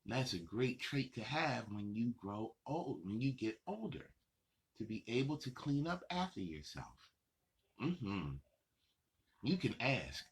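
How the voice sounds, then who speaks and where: A man hosting a children's story time, talking straight to young children in simple, repeated, encouraging phrases, with a gravelly, husky voice. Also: medium pitch, sing-song, measured pace. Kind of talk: addressing young children directly.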